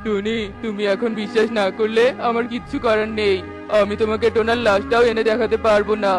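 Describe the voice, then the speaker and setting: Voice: medium pitch, flat delivery, brisk, natural voice. A performer voicing a concerned, consoling male parrot in a cartoon, with music behind. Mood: firm, consoling.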